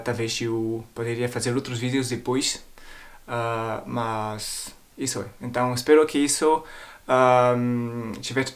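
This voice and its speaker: A male vlogger with a foreign accent and French as his first language gives a casual, explanatory talk. His voice sits at a middling pitch. He hesitates as he looks for words and often repeats himself.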